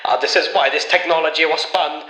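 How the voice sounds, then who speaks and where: A man's voice: on the high side, fairly even intonation, fairly quick, pushed and bright, voice pushed up. A man voicing an anxious Swedish male game developer who speaks in grave warnings from an unseen place. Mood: alarmed. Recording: roomy, echoing voice.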